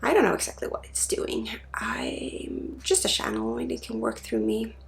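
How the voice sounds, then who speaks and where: Soft creaky woman, drifting into whisper. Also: mid-range voice, wildly swooping pitch, normal speed. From Sweden. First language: Swedish. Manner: drifts between soft murmur and breathy whisper. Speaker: ASMR host, spiritual energy healer. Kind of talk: ASMR, soft-spoken guided energy healing.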